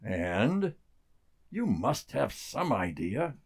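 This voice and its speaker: An actor playing a brusque, skeptical military colonel in a radio drama, probing in short clipped phrases. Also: male voice, medium pitch, sing-song, drawn-out words, creaky, heavily acted. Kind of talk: stage-style radio drama acting.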